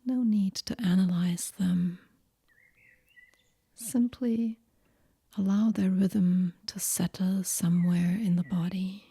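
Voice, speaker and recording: A woman guiding a yoga nidra meditation in a hushed, breathy near-whisper, gentle and soothing, with long pauses between phrases. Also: medium pitch, steady tone, no echo, studio quality. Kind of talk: guided relaxation meditation, soft and whispery.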